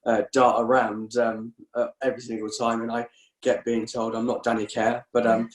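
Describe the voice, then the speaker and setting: A man's voice: mid-range voice, completely flat delivery, moderate pace. Hesitant man in an echoey room. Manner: hesitant, many fillers and restarts. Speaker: rugby scrum half. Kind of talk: casual podcast chat.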